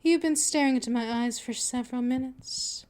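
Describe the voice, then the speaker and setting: A woman's voice: high-pitched, moderate pace, a little mellow, smooth, natural voice. A woman playing a patient, possessive vampire mistress in a scripted ASMR roleplay, speaking in a coaxing, soothing, dominant manner that often drifts toward a whisper. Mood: soothing, hypnotic.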